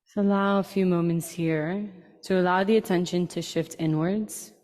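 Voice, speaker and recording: A woman with a low-pitched voice leads a guided meditation, soft-edged and mellow in tone. She speaks in short, unhurried phrases and leaves long pauses between them. A long reverb trails behind her words. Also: steady tone. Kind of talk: slow, soothing guided meditation.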